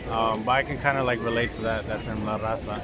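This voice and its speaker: A man's voice: fairly low pitch, steady tone, fast talker, over a noisy crowd. A young man from Mexico, talking casually in a street interview and filling his speech with "like" and "um". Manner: rambling, many "like" fillers. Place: outdoors on a city street. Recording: street noise behind.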